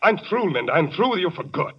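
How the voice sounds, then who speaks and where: An actor playing a desperate, lovesick husband in a 1940s radio melodrama, husky and overwrought, on an old radio recording. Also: male voice, high-pitched, big pitch swings, fast talker, lo-fi sound, exaggerated voice. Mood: bitter. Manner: pleading, overwrought.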